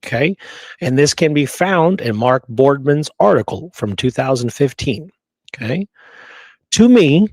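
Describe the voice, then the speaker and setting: A man's voice: on the high side, dry recording. A man co-hosting a discussion show, reading out quotes and often checking in with "okay?" and "right?". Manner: frequent "you know" and checking "okay?", "right?". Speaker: show co-host.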